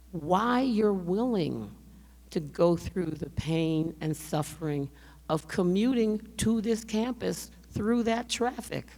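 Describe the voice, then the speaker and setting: An older woman giving a public lecture in a measured, conversational way, speaking to listeners directly while straining to keep her voice. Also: lowish voice, creaky, warm tone. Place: lecture hall. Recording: lecture hall reverb.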